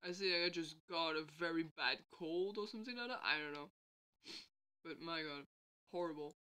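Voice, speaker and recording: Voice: medium pitch, moderate pace, sharp tone, smooth. A vlogger sick with a bad cold, chatting casually at home in a rambling way and laughing often. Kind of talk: casual late-night vlog chat. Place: indoors at home.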